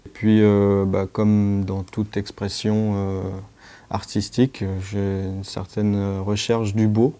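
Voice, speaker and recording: Man, sound artist, fairly low voice, monotone, halting and thoughtful. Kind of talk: documentary interview.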